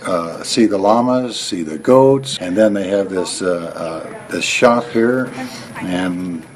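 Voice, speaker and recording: A man's voice: low voice, slow pace. A middle-aged American man giving a casual interview as a visitor.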